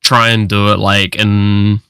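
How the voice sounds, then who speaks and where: Young kiwi guy streaming, lowish voice but really bright, swears a lot, dry close sound. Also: flat delivery, slow, smooth, dry recording. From New Zealand. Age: 20s. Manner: sweary, slangy. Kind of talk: casual livestream chat.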